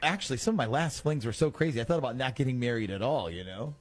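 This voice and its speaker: A man's voice: mid-range voice, lively intonation, quick pace, soft background music. Smug teasing radio prank host man.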